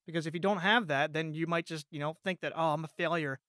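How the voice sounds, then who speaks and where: A man's voice: a little high, fairly even intonation, fast, sharp tone, dry recording, studio quality. A man talking casually on a podcast as a guest, in an informal way peppered with "like" and "you know".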